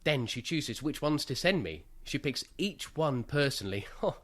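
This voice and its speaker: A man's voice: mid-range voice, lively intonation, normal speed, natural voice. An actor in a radio play playing a naive, pedantic bachelor in his thirties, earnest and a little defensive. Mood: earnest, unsure. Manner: earnest, literal-minded, pedantic.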